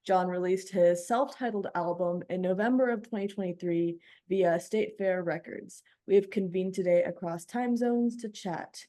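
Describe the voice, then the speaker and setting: A woman's voice: fairly low pitch, moderate pace, hardly any pauses, mellow. A woman hosting a radio interview, reading prepared questions in an articulate, polished way.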